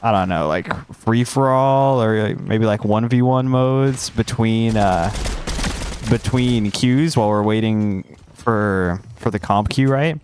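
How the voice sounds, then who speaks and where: A man's voice: fairly low pitch, a little mellow, slight background noise. Congested young man rambling over gameplay. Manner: rambling and self-interrupting, drops slang. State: congested, recovering from a cold. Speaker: gaming streamer. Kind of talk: casual gameplay commentary.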